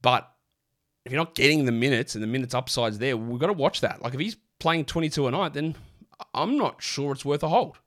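A man, sick and croaky, hosting a casual basketball podcast with lots of rambling restarts. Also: medium pitch, a little fast, fairly bright tone. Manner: rambling, chatty, many fillers and restarts. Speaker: fantasy basketball podcast host. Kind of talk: casual solo podcast talk.

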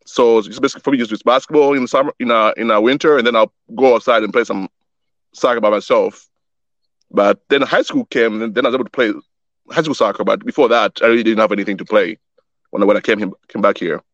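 A man's voice: on the low side, steady tone, fast talker, dry recording, lo-fi sound. A young man who was born in Wisconsin and grew up in Tanzania, a guest chatting casually about the youth soccer group he started, often repeating words as he thinks. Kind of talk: casual interview chat.

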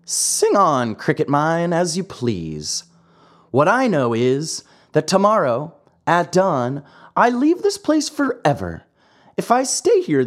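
A woman voicing an impudent, hot-tempered wooden puppet boy with a breathy voice. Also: lowish voice, pitched above the performer's own voice, exaggerated voice. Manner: impudent, rude.

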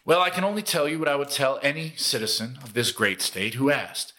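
Testy governor, brusque political oratory, forceful bright voice, animated pitch swings. Audio drama. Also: male voice, brisk. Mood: grave, measured. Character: testy male state governor at a press conference.

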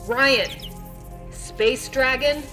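An actress playing a brusque, demanding, incredulous starship captain in a science fiction audio drama. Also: female voice, high-pitched, extremely slow, sharp tone, soft background music, exaggerated voice.

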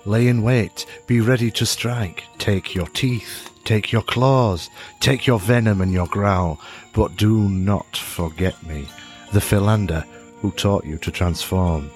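Male storyteller as proud wise insect, grand manner, slow deliberate phrasing, storybook mentor, music behind. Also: on the low side. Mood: solemn, instructive.

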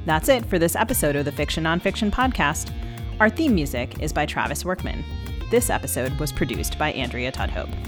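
Female podcast co-host with a deep register, reading prepared copy, soft music present. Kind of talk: prepared questions and scripted podcast announcements.

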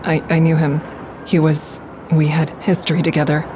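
An actress playing a frightened, guarded, fragile woman in a naturalistic audio drama, halting and repeating herself. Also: low-pitched, flat delivery, lightly acted. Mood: shocked, shaken. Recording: clear outdoor traffic and vehicle noise. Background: vehicle ambience.

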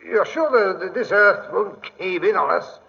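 An actor playing a blustery, grumbling, stout English sidekick in 1940s radio style, with an English accent. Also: male voice, high voice, expressive, moderate pace, vocal fry, sharp tone, heavily acted. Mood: doubtful. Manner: blustery, querulous. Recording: muffled, reverberant 1940s radio broadcast.

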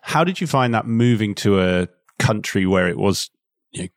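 A man's voice: on the low side, animated, moderate pace, no room sound, studio quality. A man hosting a podcast, asking long, winding questions and correcting himself partway through. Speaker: podcast host, interviewer. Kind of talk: conversational podcast interview.

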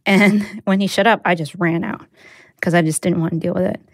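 A woman's voice: fairly low pitch, steady tone, fairly quick, no echo, clean studio sound. An American woman whose first language is Mandarin, telling a personal story in an informal, conversational way. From United States. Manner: informal, sprinkled with "like" and "you know".

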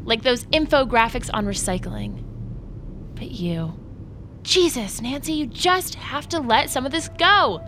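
A woman's voice: sits high, a bit bright, a little hiss or hum, full character voice. A woman playing a shallow, dismissive young former bully in an audio drama, blunt and slangy. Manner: snide, blunt, casual slangy talk. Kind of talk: audio fiction drama acting.

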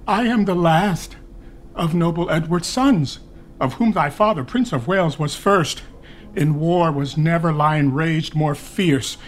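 An actor playing a grieving old duke who pleads earnestly with his nephew the king, in a classical stage style. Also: high voice.

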